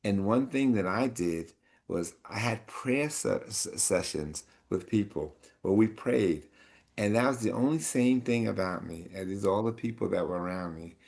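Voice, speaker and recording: A man sharing his own story of faith and then moderating a community panel, with halting speech and many fillers. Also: on the low side, vocal fry, a little mellow.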